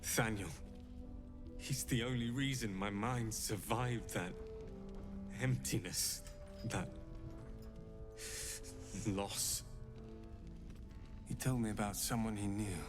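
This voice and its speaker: A man playing a haunted, desperate video game character, halting and pleading after being lost in shadows. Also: fairly low pitch, over music. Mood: haunted, dazed.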